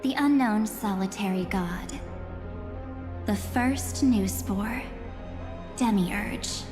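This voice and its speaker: An actress playing an enigmatic, taunting young woman in a video game, speaking deliberately with theatrical condescension. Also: mid-range voice, lightly acted. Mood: mysterious, mocking. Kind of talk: dramatic video game voice acting. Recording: echoey reverberant hall, music behind.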